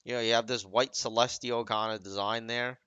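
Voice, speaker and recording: A man's voice: mid-range voice, steady tone, brisk, pushed and bright, smooth, no room sound, lo-fi sound. A man giving casual, off-the-cuff commentary on game cutscenes, explaining conversationally with rambling asides.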